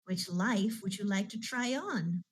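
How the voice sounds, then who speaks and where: A woman's voice: mid-range voice, unhurried, husky. A woman reading aloud and voicing a brusque older female librarian who gives curt instructions. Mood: firm.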